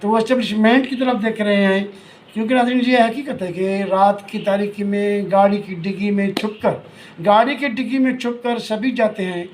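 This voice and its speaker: High-voiced Pakistani man, informal political commentary. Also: steady tone, normal speed, a little hiss or hum. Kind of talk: informal political analysis addressed to viewers.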